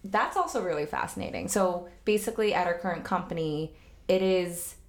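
A woman's voice: lowish voice, fairly quick. A young woman, Cantonese her first language, chatting casually with fillers, restarts and frequent laughs. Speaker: podcast guest. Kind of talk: casual chat.

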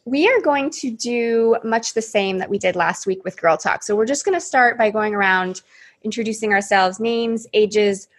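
A woman leads a relaxed podcast conversation, her voice slightly husky. She sounds upbeat, laughs easily and talks with many fillers. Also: mid-range voice. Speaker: podcast host. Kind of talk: casual podcast discussion.

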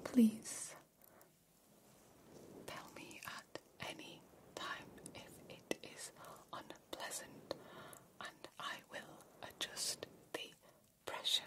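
A woman voicing a gentle, curious female alien in an ASMR roleplay, speaking in a breathy whisper with careful, formal phrasing. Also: slow pace, pauses often, natural voice. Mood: gentle, reassuring. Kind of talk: ASMR personal attention roleplay.

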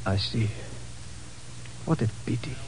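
A man playing a courteous, sombre foreign colonel who speaks in formal, deliberate phrases in a 1950s radio drama. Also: medium pitch, lively intonation, darkish tone. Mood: sorrowful. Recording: noisy, clicking vintage radio recording.

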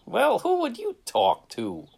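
A man playing a gruff, sardonic small-town drinking man in a radio play. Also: very high voice, huge pitch swings, slow, exaggerated voice. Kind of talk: radio drama acting.

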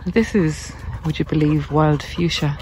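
A speaker talking gently and reassuringly in a reflective walk-and-talk vlog, with unhurried pauses. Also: mid-range voice. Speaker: narcissistic abuse recovery coach. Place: outdoors on a country walk. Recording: outdoor noise, birdsong behind. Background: birdsong, outdoor noise.

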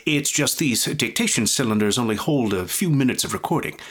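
Apologetic presenter, mid pitch, husky, animated intonation, hesitant, folksy, radio drama. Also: male voice, fairly quick. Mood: apologetic, fumbling. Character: hesitant, apologetic presenter playing archive recordings to an audience. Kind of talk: radio drama, informal public address.

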